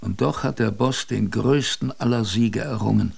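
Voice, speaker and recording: An actor playing an old grandfather and polar expedition survivor, telling his tale tenderly and proudly in a radio drama, with reflective pauses. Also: fairly low pitch, vocal fry, darkish tone, husky, full character voice. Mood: moved, proud. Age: older.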